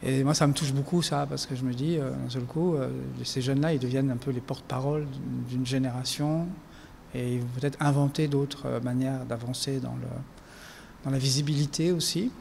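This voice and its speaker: A man's voice: medium pitch, fairly even intonation, a little fast, gravelly, darkish tone, in a large hall, slight background noise. A man speaking as a documentary filmmaker giving reflective interview answers, hesitant, with many fillers and restarts.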